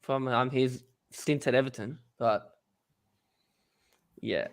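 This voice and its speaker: A man's voice: medium pitch, slow pace. A young man from Australia, a podcast co-host chatting casually, rambling and joking. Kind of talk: casual chat.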